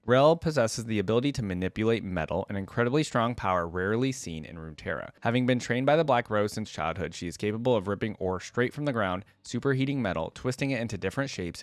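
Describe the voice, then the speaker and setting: A man's voice: lowish voice, dry recording. A man hosting a reaction video, talking casually and informally as he thinks aloud and reads aloud. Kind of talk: casual fan reaction commentary, partly reading wiki aloud.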